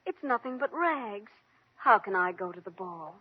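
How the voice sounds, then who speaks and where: A woman's voice: medium pitch, lively intonation, normal speed, breathy edge. An actress playing a sweet, earnest young heroine full of girlish wonder in an old-time radio drama. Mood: wonder, delight. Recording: dull old radio recording with hiss.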